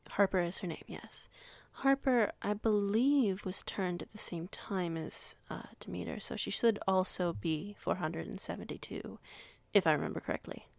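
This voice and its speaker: A woman playing a composed, polite werewolf pack alpha in her late twenties in an audio roleplay, speaking in measured, formal phrases. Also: mid-range voice, creaky, dry recording, natural voice. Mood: informative. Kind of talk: audio roleplay acting, conversation.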